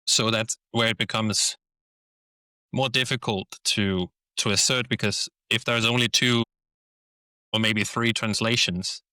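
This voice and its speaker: A man's voice: on the low side, steady tone, unhurried, pushed and bright, dry recording, poor audio. A man with a Danish accent, a podcast host whose first language is Danish, talking through ideas thoughtfully in a casual conversation. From Denmark. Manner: thoughtful, restarts phrases while searching for words.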